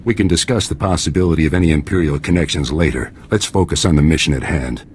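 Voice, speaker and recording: A man playing a stern, composed middle-aged military commander in a video game, speaking in a measured, formal, authoritative way. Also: a bass voice, slight background noise. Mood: firm, commanding. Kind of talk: scripted video game acting.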